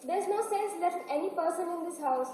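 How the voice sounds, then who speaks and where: A woman's voice: very high-pitched, brisk, dark tone. Woman playing anxious scolding irish daughter in a stage play, far from the mic, big echo, bit of noise. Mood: scolding, urgent. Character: anxious, bossy young Irish daughter in a cottage. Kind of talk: amateur stage acting. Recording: echoey hall, distant mic, faint noise.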